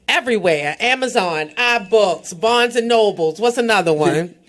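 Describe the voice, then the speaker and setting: An American woman hosts a radio talk show at an ordinary middle pitch. She talks emphatically and keeps calling out to her listeners, with plenty of "um" between her thoughts. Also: normal speed. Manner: lively and emphatic, with frequent "um" and direct calls to listeners. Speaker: radio host. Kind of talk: radio interview and event promotion.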